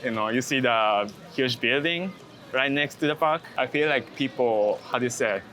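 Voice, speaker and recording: A man's voice: mid-range voice, moderate pace, bright, forceful tone. A man from Japan with a Japanese accent, talking casually in an interview and often searching for English words. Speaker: interview guest, street photographer. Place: outdoors in a busy city park. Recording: outdoor city bustle with traffic.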